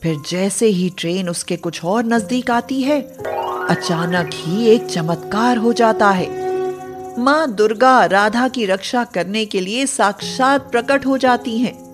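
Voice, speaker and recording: A woman's voice: a little high, a little slow, music in the background. A woman narrating a scripted moral story for children as the storyteller.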